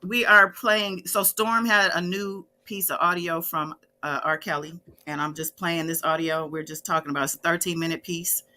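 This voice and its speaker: A woman with a slightly low, bright and forceful voice hosts a casual livestream. She talks conversationally and addresses her audience directly. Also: fairly even intonation, moderate pace, hardly any pauses. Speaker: host of online commentary show.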